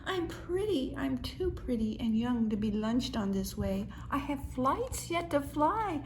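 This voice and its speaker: Lady doing a bossy dramatic girl butterfly for a kids story, pretty high voice, snippy and scornful, bit of background noise. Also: big pitch swings, a little slow, poor audio. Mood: panicked, indignant. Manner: exclaiming, scornful, impatient. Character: vain, bossy, dramatic young female butterfly. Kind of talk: children's storybook character.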